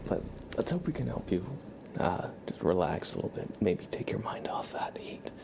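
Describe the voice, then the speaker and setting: A man hosting an ASMR livestream, chatting casually in a soft, whispery murmur and gently repeating his phrases. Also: low-pitched, big pitch swings, moderate pace, creaky.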